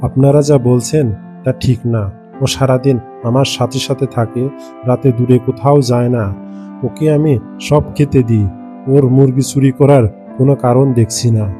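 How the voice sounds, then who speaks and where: A man's voice: mid-range voice, steady tone, moderate pace, dark tone, soft background music, close to an everyday voice. A man playing a troubled village farmer in a cartoon, earnest and defensive about his pet fox. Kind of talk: cartoon character acting.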